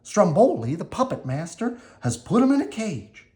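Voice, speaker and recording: A man's voice: high-pitched, very animated, normal speed, mellow, pitched above the performer's own voice. A man doing a tiny, earnest cricket. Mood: urgent.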